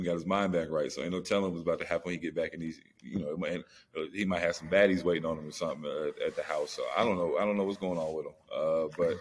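Very deep-voiced, fast-talking man, slangy. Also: slight background noise. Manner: slangy, informal, profane. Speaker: sports podcast co-host. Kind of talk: casual soccer discussion.

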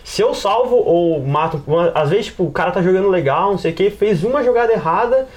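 A man's voice: high-pitched, lively intonation, fast talker, a little mellow. A man with a Brazilian accent in a casual podcast discussion, explaining things in a rambling, slangy way. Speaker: podcast panelist and game master.

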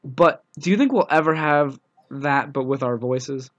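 A young man with a scratchy voice from a cold, co-hosting a casual podcast over the phone with dry, deadpan jokes. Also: medium pitch, measured pace. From United States. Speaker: podcast co-host. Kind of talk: casual chat. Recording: dull phone-line sound.

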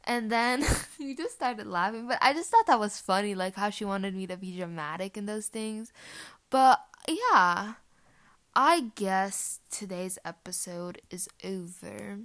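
A woman's voice: mid-range voice, animated, measured pace, fairly bright tone. A teenage girl whose first language is Portuguese, hosting her podcast with rambling, giggly casual chat full of fillers. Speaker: podcast host.

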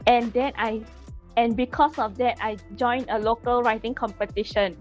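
A woman's voice: fairly high pitch, steady tone, normal speed. A woman in her late twenties from Singapore chats casually as a podcast guest, speaking in a hesitant, self-deprecating way. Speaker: podcast guest, amateur fiction writer. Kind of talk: casual chat. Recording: dull lo-fi audio with music.

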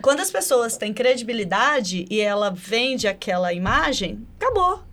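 High-voiced Brazilian woman, casual and supportive. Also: big pitch swings, normal speed, a bit bright. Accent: Brazilian Portuguese. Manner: supportive, chiming in. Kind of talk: casual conversational interviewing.

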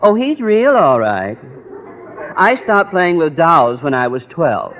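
An actor playing a fussy, literal-minded school custodian with precise, formal speech in an old-time radio comedy. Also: male voice, a little high, big pitch swings, slow, a faint crowd, full character voice. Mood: proud, jokey. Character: pedantic, literal-minded male school custodian, proud father. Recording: tinny old radio recording, studio audience.

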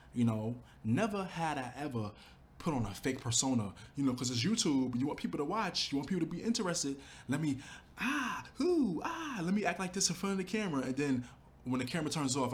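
A young adult man, a YouTuber, talking casually and earnestly about building his channel, with lots of "you know" and quoted thoughts. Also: medium pitch. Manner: earnest, animated storytelling with fillers like "you know". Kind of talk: casual reflective monologue.

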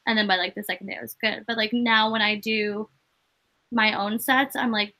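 A woman's voice: mid-range voice, steady tone, moderate pace, sharp tone. A young woman from Maine, an actress and comedian, chatting casually as an interview guest with many "like" fillers.

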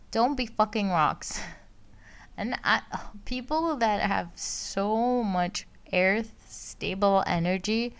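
A young woman from Greece, hosting a casual solo podcast, rambling and correcting herself as she talks. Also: mid-range voice. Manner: rambling, self-correcting, laughs often. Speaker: podcast host, astrology student. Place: outdoors with sirens around.

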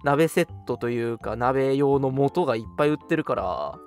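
A man's voice: mid-range voice, animated, fairly quick, faint music behind. A young man co-hosting a radio show, chatting casually and in an agreeable, responsive manner. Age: young adult. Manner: agreeable, frequent backchannels and laughter. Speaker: radio co-host.

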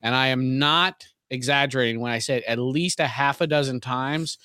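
A man's voice: medium pitch, normal speed, bright, forceful tone, smooth, dry recording, studio quality. Wry, mock-grandiose man hosting a podcast. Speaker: podcast co-host. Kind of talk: casual podcast discussion with a theatrical intro.

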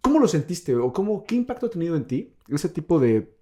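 A man's voice: a little high, big pitch swings, a little fast, dark tone. A man with a Mexican accent hosting a casual podcast chat, rambling and slangy with lots of fillers. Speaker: podcast host.

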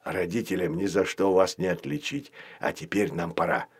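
A man voicing a friendly, practical young male dragon in a children's story, with a soft, drawn-out delivery. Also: deep voice, expressive, slightly rough, pitched below the performer's own voice, exaggerated voice. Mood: fond, confiding.

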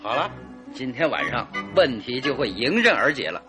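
An actor playing a composed, confident and teasing detective in a radio drama, with a deliberate, commanding manner and a husky voice. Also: male voice, medium pitch, sing-song, over music, lightly acted. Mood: amused, teasing.

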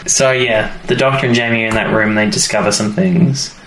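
A man's voice: lowish voice, steady tone, fairly quick, fairly bright tone, reverberant, a little hiss or hum, rough recording. A man co-hosting a podcast, chatting casually and jokingly in loose, colloquial phrases. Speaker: podcast co-host. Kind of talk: casual chat, recapping a story.

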